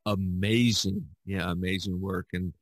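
A man in his sixties hosting a casual podcast conversation, speaking haltingly and often repeating phrases. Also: low-pitched, steady tone. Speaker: podcast host.

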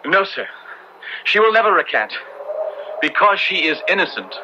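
An actor playing an anxious, compassionate parson in a radio drama, speaking earnestly and urgently in formal, old-fashioned English. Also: male voice, high-pitched, very animated, normal speed, sharp tone, heavily acted. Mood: nervous pleading. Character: large, anxious, compassionate male parson helping a fugitive. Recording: muffled, very noisy old radio recording.

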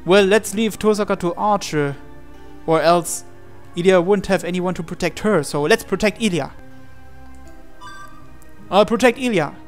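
Man, fairly high voice, dramatic read-aloud game narration, faint music behind. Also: measured pace, long pauses. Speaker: game player reading aloud.

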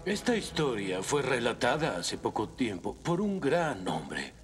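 Earnest, expressive Roman soldier. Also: male voice, mid-range voice, a little slow. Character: earnest, thoughtful male Roman soldier telling a parable. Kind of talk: children's cartoon dubbing. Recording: music behind the voice.

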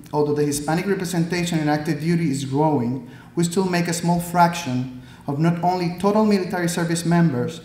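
A Puerto Rican-accented man in his thirties reads a prepared speech from the podium in a measured, earnest way, with a filler here and there. His voice sits a little high for a man. The hall around him rings with a clear echo. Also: a little mellow. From Puerto Rico. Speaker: Army pathologist, guest speaker. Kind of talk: prepared speech read from notes. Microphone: podium microphone. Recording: echoey hall.

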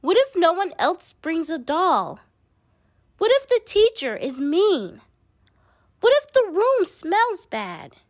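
A woman's voice: very high-pitched, huge pitch swings, takes it slowly, dry recording, studio quality, pitched above the performer's own voice, heavily acted. A woman voicing a small, fretful mouse girl who worries and questions everything in a children's storybook. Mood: anxious. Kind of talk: children's storybook read-aloud.

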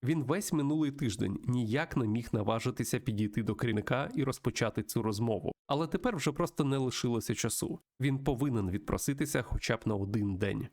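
A man reading a visual novel aloud as a let's play host, in an expressive storytelling manner. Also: mid-range voice, quick pace, hardly any pauses. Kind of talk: narrated visual novel playthrough reading with asides.

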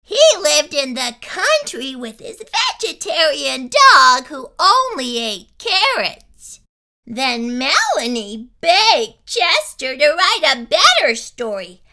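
A woman voicing a vain, bossy, mischievous male cat in a squeaky, childlike voice for a storybook. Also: huge pitch swings, pushed and bright, full character voice. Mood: smug, mischievous. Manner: boastful, defiant, drawn-out delivery.

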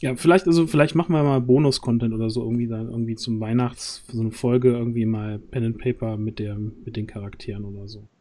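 Mellow man hosting a livestream. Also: mid-range voice, steady tone. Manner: halting, searching for words. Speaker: stream host. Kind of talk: casual livestream chat. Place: indoor home streaming setup. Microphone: close studio microphone on arm.

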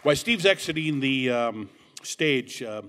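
A man emceeing an awards event, telling a joke story at a podium mic in a banquet hall, with animated, shouted quoted lines. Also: medium pitch, a little slow, slight background noise.

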